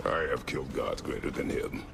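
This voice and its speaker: An actor playing a stern, battle-hardened warrior god and father in a video game, terse, grave and formal, with a gravelly voice. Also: fairly quick, lightly acted. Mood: stern, resolute. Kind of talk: video game dramatic acting. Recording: game music behind the voice.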